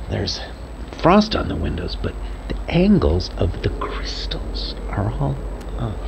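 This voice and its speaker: Male actor as guilt-ridden lone watcher, radio drama, slow halting delivery, swinging intonation, brooding. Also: medium pitch, vocal fry, noisy background, exaggerated voice. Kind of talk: audio drama monologue.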